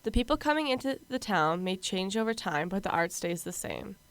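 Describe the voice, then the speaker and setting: A woman reporter reading scripted news narration in an even way. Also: medium pitch, fairly quick, noisy background.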